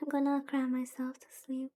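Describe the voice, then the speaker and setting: A woman's voice: sits high, completely flat delivery, normal speed, dark tone. A woman chatting casually on a game livestream in a playfully dramatic, lamenting way, as the host. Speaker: VTuber streamer, host. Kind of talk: casual livestream chat while playing a visual novel. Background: background music.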